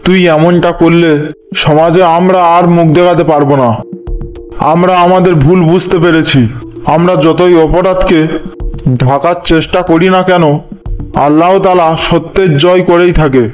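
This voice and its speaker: High-voiced guilty father, acted by a man. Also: fairly even intonation, normal speed, natural voice, rough recording. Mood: pleading, remorseful. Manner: defensive, insistent. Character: guilty middle-aged father, proud and worried about social standing. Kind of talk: cartoon melodrama acting. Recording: music under the voice.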